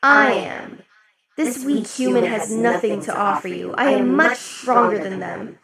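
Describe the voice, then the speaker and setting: Woman as a slow-talking demon queen, loud party crowd. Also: fairly high pitch, gravelly. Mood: jealous, forceful. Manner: slow, velvety, dips into whispers. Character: possessive, imperious female demon queen. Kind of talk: ASMR roleplay. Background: crowd chatter of a party.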